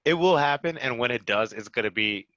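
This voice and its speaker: A man's voice: mid-range voice, lively intonation, a little fast, sharp tone, dry recording. A man joking in casual group banter, brash, profane and playful, recorded on a dull lo-fi mic. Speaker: voice actor in the show's cast.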